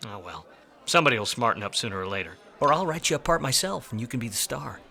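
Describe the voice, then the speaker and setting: A hard-boiled Hollywood private eye from the 1940s, played by a man, with a bright, forceful edge on a mid-pitched voice. He is wry, self-deprecating and smooth-talking, in the manner of an old noir radio drama. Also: animated, fast, full character voice. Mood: charming, flirtatious. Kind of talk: 1940s radio noir acting and first-person narration.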